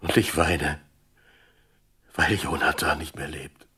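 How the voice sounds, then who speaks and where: An actor playing a grieving, pious warrior in a Bible radio play, speaking gravely and solemnly. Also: male voice, very deep voice, flat delivery, sharp tone, full character voice. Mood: mournful. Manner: slow, grave, declamatory.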